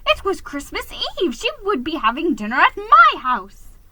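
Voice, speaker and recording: A fussy, excitable little gentleman mouse from a children's storybook, acted out by a woman. He squeaks his indignation dramatically in a high, bright voice. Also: normal speed, no room sound, exaggerated voice. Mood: fuming, impatient.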